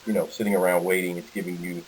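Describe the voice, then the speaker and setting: A man's voice: deep voice, little pitch movement, fast, poor audio. An American man giving an informal sales presentation on a conference call, walking through slides as the presenter. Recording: hissy conference call audio.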